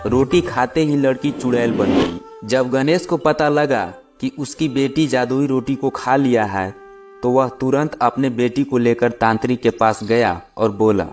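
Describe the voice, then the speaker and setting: A man's voice: mid-range voice, measured pace. A man narrating a scripted moral tale for children as the storyteller. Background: background music.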